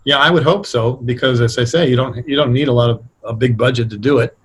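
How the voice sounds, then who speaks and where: Husky mature man. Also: mid-range voice, quick pace. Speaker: guest, radio drama writer. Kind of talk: casual interview conversation.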